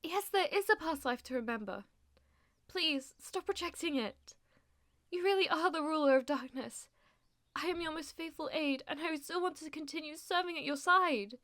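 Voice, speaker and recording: Woman acting a delusional schoolgirl, high voice, melodramatic, grandiose, easily flustered, audio roleplay. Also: normal speed, fairly bright tone. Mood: fervent, pleading. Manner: theatrical, grandiose formal phrasing with flustered stammering. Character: melodramatic, delusional schoolgirl who fancies herself a demon lord's aide.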